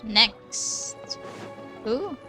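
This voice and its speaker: A woman's voice: on the high side, very animated, very slow, bright, forceful tone, faint music behind. A woman giving casual live commentary as she streams a game.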